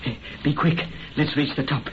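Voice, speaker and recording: An actor playing an ardent, pleading young Irish farmer's son in florid old-time radio melodrama. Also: male voice, fairly high pitch, fairly even intonation, normal speed, natural voice. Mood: impassioned, uneasy. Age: young adult. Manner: impassioned, florid. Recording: muffled, noisy vintage radio recording.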